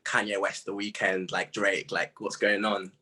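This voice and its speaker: A man in his twenties with a husky voice, a guest artist chatting casually and telling stories in animated slang. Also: low voice, a little slow. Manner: animated slangy storytelling, quoting own reactions. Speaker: interview guest, music artist. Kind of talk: casual chat.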